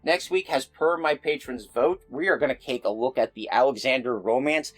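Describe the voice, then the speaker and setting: A man's voice: medium pitch, moderate pace, soft background music. A man hosting an informal explainer video, speaking in a conversational, self-deprecating way. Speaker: YouTube host of mythology and folklore videos.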